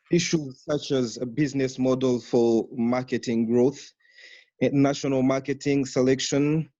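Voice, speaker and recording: A man's voice: mid-range voice, mellow, no room sound. A man hosting, giving a formal and deferential welcome speech to a guest. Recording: dull lo-fi online call audio.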